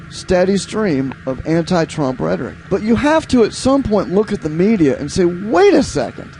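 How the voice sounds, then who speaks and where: A man's voice: sits high, darkish tone, slight background noise, poor audio. An American man giving opinionated political commentary as a show host, emphatic and incredulous, repeating phrases for effect. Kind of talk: opinionated political commentary, reading headlines aloud.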